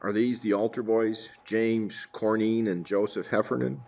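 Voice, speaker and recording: A man playing a calm, businesslike police detective partner in a radio play, brief and matter-of-fact. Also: fairly low pitch, unhurried, creaky.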